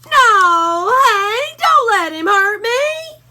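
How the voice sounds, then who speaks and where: A woman's voice: extremely high pitch, very animated, very slow, sharp tone, pitched above the performer's own voice, exaggerated voice. A woman voicing a timid, whiny little male dog who wails and whimpers in panic. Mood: panicky whining.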